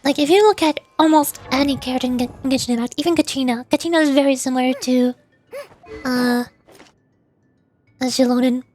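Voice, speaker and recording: A woman's voice: sits high, fairly even intonation, a little fast, pauses often, a little mellow, slight background noise. A woman livestreaming a video game with casual, chatty commentary, thinking aloud as she plays. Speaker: VTuber game streamer. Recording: game music behind. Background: faint game music.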